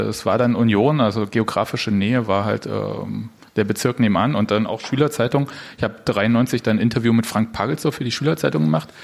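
A man's voice: mid-range voice, gravelly, a little mellow. Man from Jena, panel guest, wry and dry, mid-range voice. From Jena, eastern Germany. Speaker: guest writer. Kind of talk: live stage panel talk. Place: theater stage.

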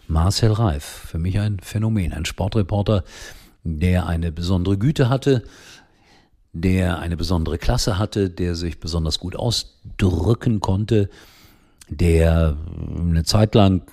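An older man hosting a casual daily football talk show, chatty and rambling with lots of fillers, a little hoarse from a cold. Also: sits low, warm tone. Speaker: football commentator and show host.

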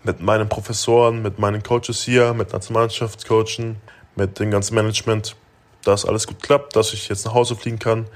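A young man from Germany in his late teens, answering interview questions in a relaxed, rambling way with many fillers and restarts. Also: lowish voice, brisk. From Germany (Augsburg). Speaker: interview guest, basketball player.

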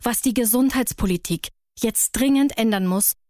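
A woman reads an advertisement voice-over clearly and informatively. The sound is dry and close. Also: fairly high pitch, moderate pace, dark tone, dry recording. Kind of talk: scripted ad voice-over.

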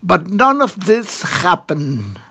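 Very slow Argentinian man, high voice. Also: wildly swooping pitch, gravelly, sharp tone, slightly rough. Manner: very slow and measured, with pauses. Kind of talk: recorded personal statement. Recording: dull lo-fi recording.

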